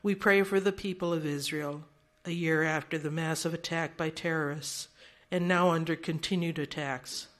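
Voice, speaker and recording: Older woman, meeting chair, bright clear tone, orderly practical delivery, handheld mic, large room. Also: deep voice, fairly even intonation, normal speed. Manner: orderly and practical, with light self-deprecating humor. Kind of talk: meeting announcements and opening prayer. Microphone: handheld microphone.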